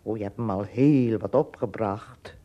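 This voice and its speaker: A male actor in a radio play playing a childlike, doting giant keeper who speaks haltingly and repeats words. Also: on the low side, mellow. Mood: doting, hesitant.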